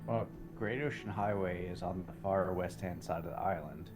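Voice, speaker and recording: A man in an improvised roleplay conversation, speaking in a measured, explanatory, probing way like a counselor. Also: deep voice, over music. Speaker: roleplay game player.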